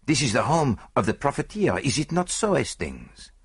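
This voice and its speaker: A man's voice: mid-range voice, sing-song, normal speed, breathy edge, dry recording, studio quality, pitched above the performer's own voice. A man voicing an old Belgian detective with a French-speaking accent and a courteous, quaintly formal manner. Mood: placid, musing. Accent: French-speaking foreign. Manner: formal, quaint phrasing with small murmured asides.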